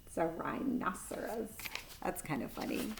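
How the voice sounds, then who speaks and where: A woman's voice: lowish voice, expressive, moderate pace, vocal fry, slight background noise. A woman reading a children's storybook aloud, adding short asides for young listeners.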